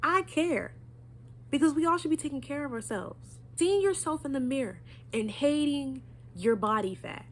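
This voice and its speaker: A woman's voice: sits high, lively intonation, moderate pace, slight background noise. A young woman with a stuffy nose giving an earnest, preachy motivational talk straight to her viewers. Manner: earnest, preachy, direct address. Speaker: vlogger.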